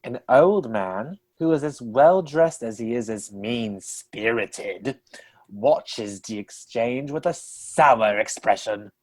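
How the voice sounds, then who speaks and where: An actor voicing the ghost of an author who narrates a radio play in a measured, theatrical storytelling manner. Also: medium pitch, animated. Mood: genial.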